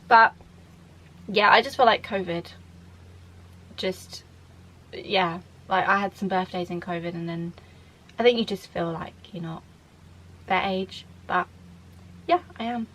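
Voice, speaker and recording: A woman's voice: on the low side, measured pace, long pauses, a bit bright, a little hiss or hum, low-quality recording. A woman in her twenties from the UK, chatting casually to camera in a Q&A vlog, rambling with lots of fillers and restarts. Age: 20s. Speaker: vlogger.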